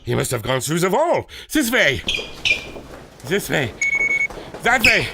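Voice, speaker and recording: An excitable inventor hero, slightly high and hugely animated in pitch. He is precise and theatrical in radio serial fashion, with loud noise on the recording. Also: slow pace, creaky, heavily acted. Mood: excited. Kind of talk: old-time radio adventure acting. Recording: very noisy, with clicks.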